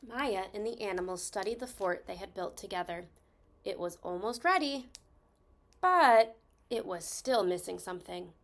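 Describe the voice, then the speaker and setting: A woman reading a children's story aloud expressively, stressing key words with deliberate phrasing. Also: mid-range voice, lively intonation, a bit bright.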